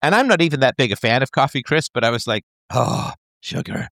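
A man's voice: medium pitch, a little fast, no echo, studio quality. A man in his thirties in a casual chat, explaining an idea playfully as if talking to a child. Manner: animated, playful, talks as if to a child.

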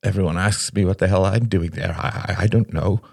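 A man voicing a bitter, ashamed recovering addict, a self-pitying younger brother who talks haltingly in an audiobook story. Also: low-pitched, fairly even intonation, vocal fry, darkish tone, no echo, clean studio sound, pitched above the performer's own voice. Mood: halting, uneasy. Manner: mumbling, halting, stammering, then bursting out.

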